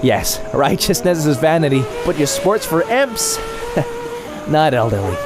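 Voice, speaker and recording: A man voicing an excitable, scatterbrained young male cat with a florid, rambling, theatrical delivery in a comic dub. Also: on the high side, measured pace, over music. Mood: grandiose, poetic. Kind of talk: animated comic dub acting.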